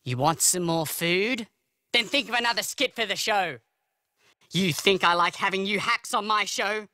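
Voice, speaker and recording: A man's voice: high voice, normal speed, sharp tone, no echo, clean studio sound. A man playing a paranoid, bullying cartoon TV comedy host, agitated and self-important. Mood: bullying, angry. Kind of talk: animated satire dialogue.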